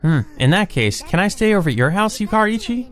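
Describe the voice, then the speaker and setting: A man's voice: high voice, very animated, a little fast, voice pushed up. A man voicing an eager, friendly teenage schoolgirl while reading a visual novel, with an informal, inviting manner. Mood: cheerful, eager.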